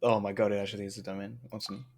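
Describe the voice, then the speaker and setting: A man's voice: on the low side, flat delivery, rapid-fire, a little hiss or hum. A man giving casual live gameplay commentary as a streamer, rambling in a jokey, self-deprecating way. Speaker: game streamer.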